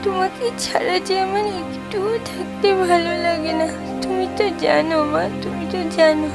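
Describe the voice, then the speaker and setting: A woman's voice: very high-pitched, fairly even intonation, unhurried, loud music behind the voice, voice pushed up. A woman voicing a grieving ten-year-old girl in a cartoon story, plaintive and pleading.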